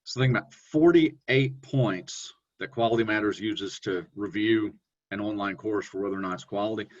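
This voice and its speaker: A man presenting a webinar on online teaching, talking in a relaxed, conversational way with fillers and self-corrections. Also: normal speed. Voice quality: deep voice. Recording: dull lo-fi webinar audio.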